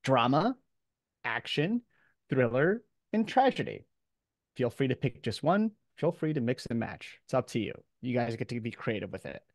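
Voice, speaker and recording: A man's voice: medium pitch, very animated, normal speed, dry recording, clean studio sound. A man with an American accent giving an informal online lecture as a professor, in a casual, colloquial, explanatory manner. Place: video call, close dry sound.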